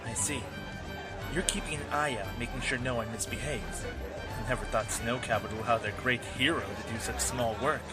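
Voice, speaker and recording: Wandering ex-warrior played by a man, mid-pitched, wry, teasing, carrying regret; fantasy audio drama with music underneath. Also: moderate pace, close to an everyday voice. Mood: skeptical. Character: weary drifting male ex-soldier, teasing, regretful brother.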